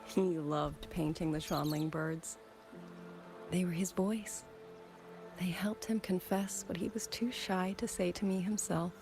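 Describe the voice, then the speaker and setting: An actress playing a grieving former musician turned soldier in a video game, speaking softly and measuredly with wistful resolve, over background music. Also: female voice, on the low side, steady tone, a little slow. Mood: tender, sorrowful. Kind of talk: dramatic video game voice acting.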